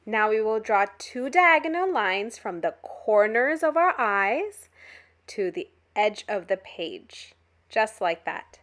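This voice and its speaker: A woman leading an art activity as a step-by-step tutorial, in a clear, instructional manner. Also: mid-range voice, sing-song, slow, fairly bright tone.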